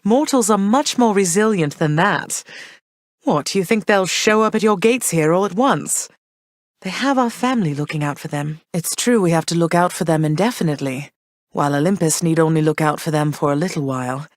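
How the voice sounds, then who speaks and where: Woman voicing a gentle, motherly underworld queen for a game; low-pitched, warm, gracious, dry close studio read. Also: moderate pace, no echo, close to an everyday voice. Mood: persuasive. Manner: warm, gracious, softly reassuring. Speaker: game character. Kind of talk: game voice lines.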